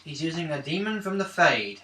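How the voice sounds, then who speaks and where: A man's voice: on the high side, sing-song, brisk, sharp tone. A man bantering casually as a player in a tabletop role-playing game, recorded in an echoey room. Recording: echoey room, distant mic, faint noise.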